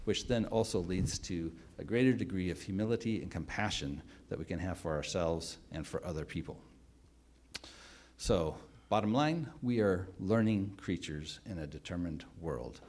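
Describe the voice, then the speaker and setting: A man giving a calm, measured explanation in a talk. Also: low-pitched, slight background noise.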